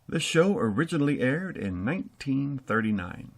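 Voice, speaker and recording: A man's voice: mid-range voice, very animated, normal speed, vocal fry. A man acting as announcer and director of a radio theater show, giving scripted banter and introductions.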